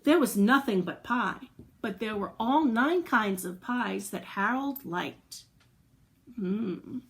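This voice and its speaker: A woman's voice: medium pitch, lively intonation, slow, a bit bright. A woman reading a picture book aloud to young children, expressively, with playful asides, questions and little sound effects. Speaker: children's storyteller.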